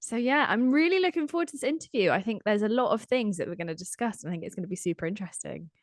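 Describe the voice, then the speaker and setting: A woman with a croaky voice, hosting a podcast interview and asking earnest, drawn-out questions. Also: medium pitch, expressive, no echo, clean studio sound. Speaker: podcast host.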